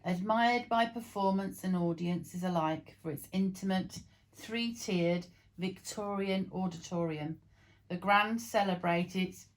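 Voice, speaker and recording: Gravelly, low-pitched woman reading aloud. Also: a little hiss or hum, low-quality recording. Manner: storytelling, occasional stumbles over words. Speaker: vlog co-presenter reading aloud. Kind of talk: amateur reading aloud from printed text.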